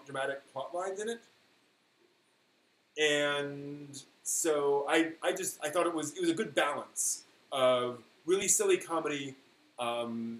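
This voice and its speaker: A man hosting a live stream in casual, rambling chat, thinking aloud haltingly and leaning on key words. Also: fairly high pitch, fairly bright tone. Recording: echoey room with faint noise.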